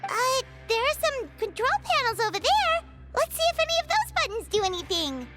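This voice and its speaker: Game companion role: little fairy girl, very squeaky, excitable and quick to indignation, with bouncing melodic delivery. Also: soft background music, exaggerated voice. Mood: hesitant. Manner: exclamatory, expressive. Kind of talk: video game voice acting.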